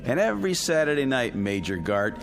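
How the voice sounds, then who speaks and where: An actor playing a wisecracking test pilot with an uneasy streak in a radio drama, colloquial in delivery. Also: male voice, medium pitch, big pitch swings, a little fast, vocal fry. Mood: boisterous, teasing.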